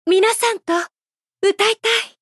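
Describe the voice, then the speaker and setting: A woman voicing a gentle, elegant, playfully affectionate idol singer in a mobile game, speaking in a soft, measured, heartfelt way. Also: extremely high pitch, steady tone, no room sound, studio quality, heavily acted. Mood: moved, grateful.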